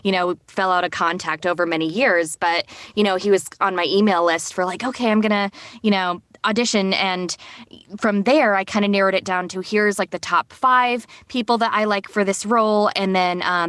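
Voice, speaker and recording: A woman's voice: mid-range voice, steady tone, moderate pace, fairly bright tone, smooth, no room sound. A woman voice actor explaining things conversationally in a casual panel chat. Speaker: voice actor and casting director.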